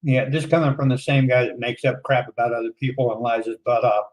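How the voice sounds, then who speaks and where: A man's voice: mid-range voice, fairly even intonation, fast, rough recording. A man cutting in with sarcastic, exasperated commentary. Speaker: reaction commentator.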